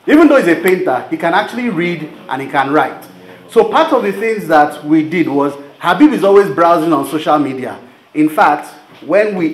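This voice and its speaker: A man with a Nigerian accent giving an informal public presentation, conversational, telling stories and putting rhetorical questions to his audience. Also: a little high. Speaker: presenter of a business training program. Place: live event room before an audience. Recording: roomy venue sound with background noise.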